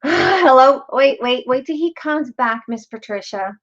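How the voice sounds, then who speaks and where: A woman's voice: high-pitched, lively intonation, a little slow, fairly bright tone. A middle-aged woman hosting a live craft tutorial, chatting casually and slipping into Spanish phrases. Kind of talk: casual live-stream chat while crafting. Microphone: desk mic over an overhead craft camera. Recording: slightly dull audio with faint hiss.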